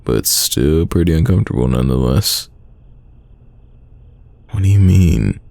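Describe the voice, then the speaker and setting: Man playing a young male friend, extremely low gravelly voice, teasing, stubborn, casual, sighing, intimate audio roleplay, dry close sound. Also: expressive, warm tone, no echo, exaggerated voice. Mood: exasperated teasing. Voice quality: very deep, gravelly voice. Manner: casual, teasing, drawn-out sighs.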